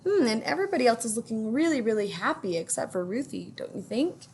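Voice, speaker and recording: A woman teacher reading a picture book aloud to children, gently stopping to ask them questions. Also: fairly high pitch, lively intonation, breathy edge. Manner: gentle, pauses to ask young listeners questions.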